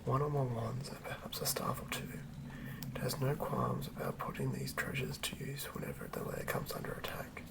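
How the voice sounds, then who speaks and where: Male ASMR narrator, whispered and creaky, hushed measured reading of fantasy lore. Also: medium pitch, very animated. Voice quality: whispered, breathy and gravelly. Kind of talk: ASMR whispered read-through of a fantasy monster manual.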